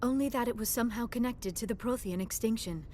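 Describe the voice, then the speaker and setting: A woman's voice: fairly high pitch, fast talker, mellow, slight background noise, close to an everyday voice. A woman voicing an earnest, polite young alien scholar in a video game, speaking in a precise, formal way. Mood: polite, grateful. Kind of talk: video game dialogue acting.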